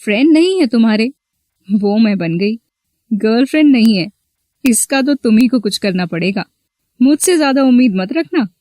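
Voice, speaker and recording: A woman's voice: fairly high pitch, animated, normal speed, dark tone, no room sound, close to an everyday voice. A woman playing a flirty, possessive, manipulative married college teacher in a scripted story. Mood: teasing, flirty. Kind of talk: scripted Hindi audio story dialogue.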